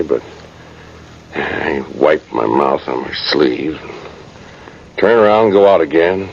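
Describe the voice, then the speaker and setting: Deep gravelly folksy cavalry sergeant, rueful, rambling, old muffled radio drama. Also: male voice. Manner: folksy, ungrammatical, rambling soldier talk. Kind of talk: 1950s radio drama monologue. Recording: muffled, hissy vintage radio recording.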